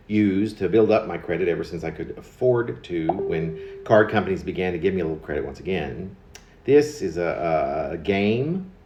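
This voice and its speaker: A man's voice: low-pitched, a little mellow, reverberant, dull audio. An American man in his late fifties reading his own rambling autobiographical poem in a wry, digressive manner, often repeating words. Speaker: poet reading own work.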